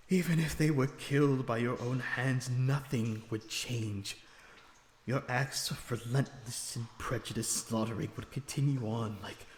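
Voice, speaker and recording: A man playing a lonesome captive vampire in an audio roleplay, weary, bitter and sardonic, with measured dramatic phrasing. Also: mid-range voice, natural voice. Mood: bitter, defiant grief. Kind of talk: ASMR audio roleplay acting. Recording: echoey reverberant room with background noise.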